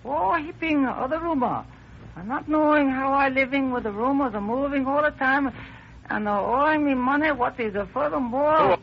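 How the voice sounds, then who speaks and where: A polite, voluble Japanese landlord in an old radio drama, pitched extremely high. He fumbles eagerly through broken English with a Japanese accent. The recording is muffled, with a light hiss. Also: moderate pace, gravelly. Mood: apologetic.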